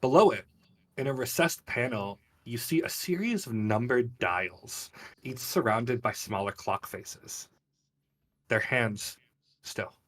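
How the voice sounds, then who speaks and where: A man's voice: mid-range voice, animated, takes it slowly, a bit bright, far from the mic. A man working as game master, telling a tabletop fantasy story in descriptive, dramatic detail. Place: live online game stream.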